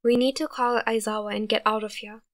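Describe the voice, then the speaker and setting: A woman's voice: a little high, fairly even intonation, brisk, lightly acted. A woman voicing an anxious young character who speaks urgently and pleads.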